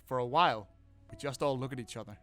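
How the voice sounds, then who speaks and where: A man streaming a visual novel, reading it aloud and reacting with animated, laughing, swearing commentary. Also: medium pitch, fast, soft background music. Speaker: game streamer.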